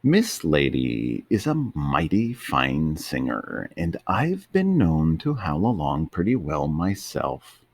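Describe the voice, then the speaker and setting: A man's voice: rumbling low, huge pitch swings, slow pace, gravelly, hardly any pauses, darkish tone, slightly rough, no echo, exaggerated voice. A man voicing a folksy old male hound in a storybook reading.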